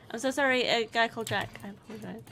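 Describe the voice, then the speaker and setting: A woman's voice: a little high, fast talker, sharp tone, slight background noise. A young woman from the north of England, chatting casually on a livestream, reading viewer messages aloud and laughing often. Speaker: co-host reading chat.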